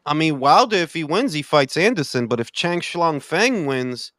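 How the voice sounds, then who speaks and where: A man hosting a casual boxing talk show, animated and slangy, calling everyone bro. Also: fairly high pitch, normal speed, no room sound, studio quality. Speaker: podcast host.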